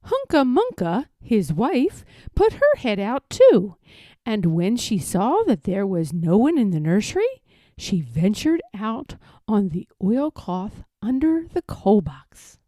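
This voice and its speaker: A woman reading a children's story aloud in an expressive, measured, emphatic storytelling manner. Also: medium pitch, dry recording, studio quality. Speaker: storybook reader.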